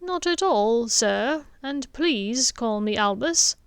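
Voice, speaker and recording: A gentle, grave old wizard speaks in slow, measured, softened tones, read by a woman. The pitch sits on the higher side and the pace is very unhurried. The recording is dry and close. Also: expressive, clear, resonant tone, no room sound. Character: aged male wizard headmaster, gentle and grave.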